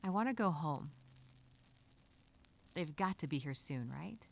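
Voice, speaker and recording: A woman voicing a resilient, chatty female castaway telling a survival diary, expressive and talking to herself. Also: low-pitched, unhurried, creaky, heavily acted. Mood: weary, wistful. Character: stranded castaway woman journaling, resilient, chatty, wryly upbeat. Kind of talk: first-person diary storytelling, acted.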